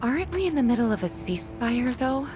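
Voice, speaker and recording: A woman playing a gentle, considerate young female companion in a video game, kindly and polite. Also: fairly high pitch, sing-song, a little fast, background noise. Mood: concerned. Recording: loud music behind the voice.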